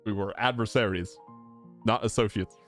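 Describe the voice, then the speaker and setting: A man's voice: fairly low pitch, fairly even intonation, normal speed. A man streaming a video game playthrough with casual, slangy, teasing commentary. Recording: game music behind voice. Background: game music.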